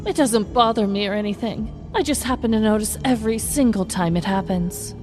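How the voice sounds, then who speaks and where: A woman's voice: mid-range voice, a little fast, over music, close to an everyday voice. A woman playing a nosy neighbor in a comedy audio sketch, theatrical and conspiratorial. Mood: gossipy. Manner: theatrical, conspiratorial, hushed storytelling. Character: nosy dramatic middle-aged woman neighbor.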